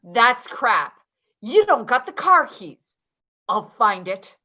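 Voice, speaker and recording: A woman's voice: a little high, very animated, slow pace, bright, forceful tone, highs cut off, full character voice. A woman acting in a play reading as a volatile, foul-mouthed woman who makes confrontational, threatening demands. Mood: aggressive.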